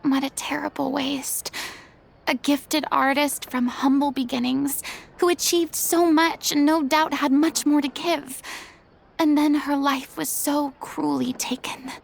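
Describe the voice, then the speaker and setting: Casting: a poised young ex-star, high and slightly gravelly, formal and theatrical, soft music behind. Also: female voice, little pitch movement, unhurried, a little hiss or hum. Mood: solemn. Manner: formal, articulate, gently theatrical. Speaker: former performer advising a theater troupe. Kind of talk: game cutscene voice acting.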